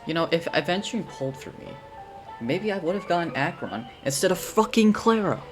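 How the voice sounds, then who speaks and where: A man's voice: a little high, very animated, a little fast, over music. A man giving casual game commentary, thinking aloud in a rambling, sweary way as a streamer.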